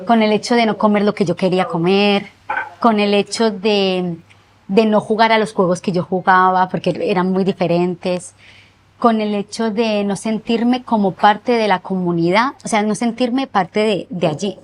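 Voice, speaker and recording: A young woman from Colombia with a Colombian accent softened by years in Spain, recalling her life earnestly in an interview and slowing down to stress words. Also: medium pitch. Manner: reflective, earnest, often slows down to stress words. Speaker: guest. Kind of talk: personal interview.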